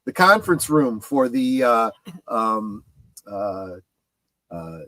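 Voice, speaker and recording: A middle-aged man in casual panel chat, speaking in a halting but emphatic way. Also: mid-range voice, big pitch swings, fairly bright tone, no echo. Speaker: show panelist. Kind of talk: casual chat about collectibles.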